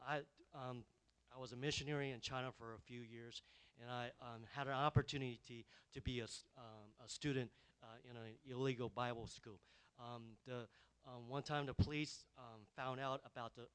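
A man gives a halting testimony in church, his speech full of ums. His voice is mid-pitched, smooth and resonant. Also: fairly bright tone. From Taiwan, raised in the USA. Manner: hesitant, many ums and uhs. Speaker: guest giving a testimony.

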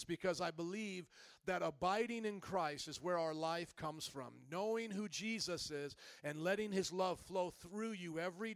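A man with a fairly high voice preaches energetically, drawing his congregation into call-and-response. The sound is dry and close. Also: fairly bright tone, no room sound. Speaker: pastor. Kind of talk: sermon. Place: church.

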